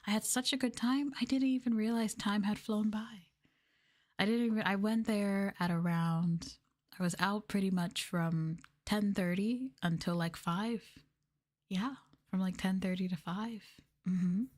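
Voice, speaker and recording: A woman streamer chatting softly and gently in an ASMR style, often slipping into a whisper, close to the microphone. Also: mid-range voice, steady tone, a little slow. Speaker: streamer host of an ASMR livestream. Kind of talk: soft-spoken ASMR chat with whispering. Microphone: close microphone for headphone listening.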